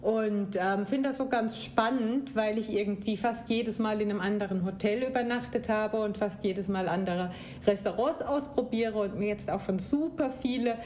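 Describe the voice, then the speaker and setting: A woman's voice: medium pitch, normal speed, few pauses, darkish tone, a little hiss or hum. A woman from Germany, co-hosting a podcast and chatting with enthusiasm. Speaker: podcast co-host. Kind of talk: casual podcast chat.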